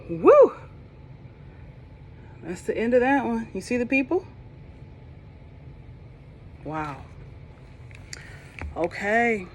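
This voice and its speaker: A woman reading a children's Bible storybook aloud, slow and expressive, with soft whispered moments and playful asides to young listeners. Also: a little high, slight background noise. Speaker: storybook reader.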